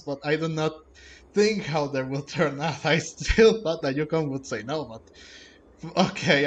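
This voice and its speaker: A man's voice: on the high side, slight background noise. A man giving casual gameplay commentary, thinking aloud and rambling with many restarts. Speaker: gamer.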